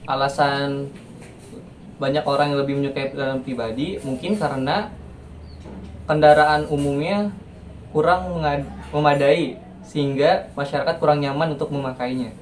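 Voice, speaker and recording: An eighteen-year-old young man giving brief, measured answers in an interview. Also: fairly high pitch, fairly even intonation, a little hiss or hum. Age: late teens. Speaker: interviewee, student. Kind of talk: casual spoken answers.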